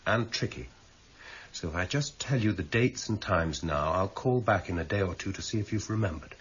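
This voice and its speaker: A senior male detective in a radio crime drama, low in pitch and measured. He probes with dry politeness and quiet insistence. The recording is slightly dull and muffled. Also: expressive, breathy edge, heavily acted. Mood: politely insistent. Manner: measured, probing, dryly polite. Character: shrewd, unruffled senior male police detective, dryly insistent. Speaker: police detective in charge.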